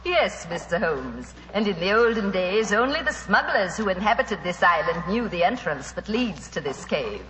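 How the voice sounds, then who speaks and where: An actress playing a gracious but smoothly sinister island hostess, speaking formally and theatrically in 1940s radio drama style. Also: female voice, mid-range voice, big pitch swings, moderate pace, hardly any pauses, fairly bright tone. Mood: mysterious, knowing. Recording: noisy, reverberant 1940s radio recording.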